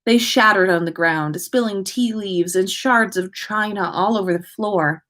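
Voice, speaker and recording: A woman's voice: medium pitch, unhurried, fairly bright tone. A woman podcast host reading a story aloud as narration for a livestream.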